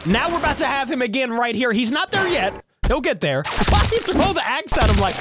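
A man's voice: extremely high pitch, sing-song, fairly quick, heavy background noise. A young man with headphones giving casual, exclamation-filled reaction commentary on a video. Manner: exclaiming, laughing, reading subtitles aloud. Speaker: YouTube reaction host. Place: indoor room. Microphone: studio mic with over-ear headphones. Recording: loud backing music under voice. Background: loud music from the reacted video.

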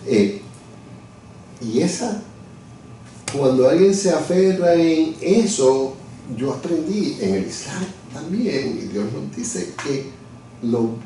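Middle-aged man, dark voice, echoey room. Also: on the high side, expressive, takes it slowly, long pauses, recorded from a distance, a little hiss or hum. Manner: thoughtful, searching for words with many restarts. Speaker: interview guest, lawyer and communications director. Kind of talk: reflective conversational explanation. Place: office, seated at a desk.

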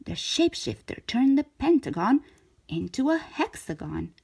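A woman narrates a picture book for young children in a fairly high voice with a slightly breathy edge. She phrases deliberately and dramatically, with a lively rise and fall. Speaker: children's storybook reader. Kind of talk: expressive scripted read-aloud narration.

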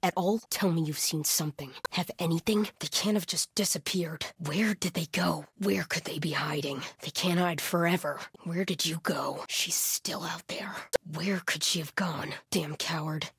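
Menacing female cult soldier for a video game: husky, watchful, terse commands, dry sound. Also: low-pitched, normal speed, a bit bright, no echo, exaggerated voice. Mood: tense, searching, then uneasy, hushed. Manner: terse, clipped commands and callouts. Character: hardened cult soldier woman, vigilant, menacing hunter of trespassers. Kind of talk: video game combat voice lines.

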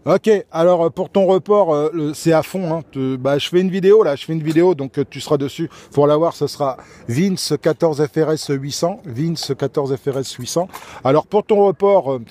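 A man talks over a two-way radio in a relaxed, joking way. His voice sits a little high for a man. He is recorded outdoors by a camera held near his handset. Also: normal speed. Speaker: radio amateur filming his contact. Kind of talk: casual radio chat. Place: outdoors in a garden.

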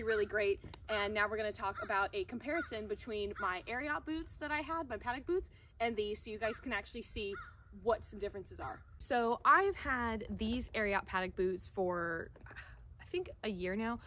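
A woman's voice: medium pitch, normal speed, a bit bright. A young American woman talking enthusiastically and emphatically, in the casual, chatty style of a vlogger reviewing products to camera. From Central Florida, United States. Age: young adult. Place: outdoors, by a wooden wall and open field. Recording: noisy outdoor camera audio.

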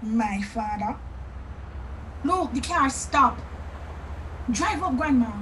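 A woman's voice: a little high, lively intonation, takes it slowly, fairly bright tone. A woman playing a sulky, complaining young granddaughter with a Jamaican accent in a radio drama, whiny and questioning. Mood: puzzled. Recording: distant voice, clear traffic noise.

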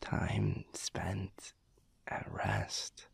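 A very slow, deeply creaky voice for a sweet werewolf lover in an intimate audio roleplay, acted by a man. He is low and breathy, musing tenderly in halting, soft-spoken phrases that often drop toward a whisper. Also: very animated, exaggerated voice. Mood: musing, gentle. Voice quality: breathy, gravelly half-whisper. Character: sweet, reflective werewolf boyfriend, tender and unhurried.

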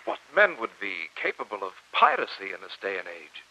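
An actor playing an earnest, formal professor who narrates a sea adventure in old-time radio drama style. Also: male voice, fairly low pitch, huge pitch swings, brisk, bright, forceful tone, slightly rough, heavily acted. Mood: astonished, formal. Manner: formal, theatrical, dramatic delivery. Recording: muffled old radio recording, music underneath.

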